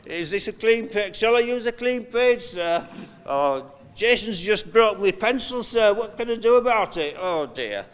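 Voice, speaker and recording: Older male, clear smooth voice, halting conversational delivery, eulogy storytelling, long hall reverb. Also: high voice, lively intonation. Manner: halting, conversational, with pauses and wry anecdotes. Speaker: eulogy speaker, friend of the deceased. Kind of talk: memorial eulogy, anecdotal storytelling from notes. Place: large hall at a memorial service.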